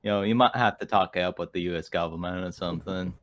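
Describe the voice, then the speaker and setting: A man plays a tabletop roleplaying game, talking in a loose, casual way. His voice sits slightly low in pitch. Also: fast talker, fairly bright tone, slight background noise.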